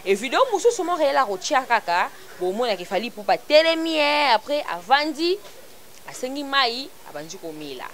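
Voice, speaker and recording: A woman's voice: high-pitched, big pitch swings, brisk, a bit bright, a little hiss or hum. A woman from Congo, hosting an online celebrity news show in a chatty, casual commentary style. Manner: chatty, mixing French and Lingala freely.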